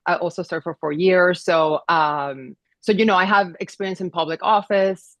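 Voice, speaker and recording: Low-voiced woman. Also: fairly even intonation, normal speed, no room sound. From Venezuela. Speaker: audience guest, immigration attorney. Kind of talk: conversational personal introduction.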